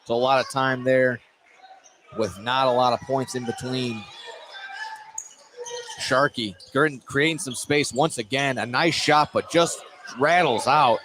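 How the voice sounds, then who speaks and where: A man's voice: mid-range voice, fairly even intonation, measured pace, long pauses. Male basketball play-by-play announcer in a noisy gym. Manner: narrates each pass as it happens, repeating words. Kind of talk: live basketball radio commentary. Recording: noisy gym, clear ambient din. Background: gym noise, bouncing basketballs.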